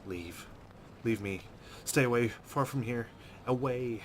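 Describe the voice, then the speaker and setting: A man's voice: medium pitch, slow pace, a little hiss or hum. A man hosting a let's play, reading story text dramatically and adding casual commentary.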